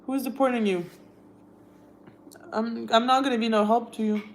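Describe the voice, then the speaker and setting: A woman's voice: on the high side, steady tone, normal speed, a little hiss or hum, poor audio. Young woman, Russian accent. Chatty livestream host, easygoing tone, reads viewer questions aloud. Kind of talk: casual livestream chat.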